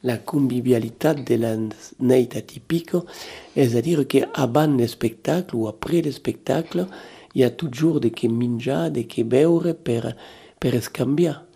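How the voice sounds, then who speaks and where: A woman's voice: extremely low pitch, a little slow, creaky, mellow, breathy edge. A woman hosting a casual interview, chatting and adding details for her guest. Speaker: interviewer and host.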